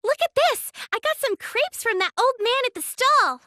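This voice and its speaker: A tiny, bubbly little girl with an extremely high, bright voice. She talks in an eager, sing-song way, full of childlike excitement, as in an anime game dub. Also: normal speed, no room sound, clean studio sound, full character voice. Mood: excited, cheerful. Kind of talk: English anime game voice acting.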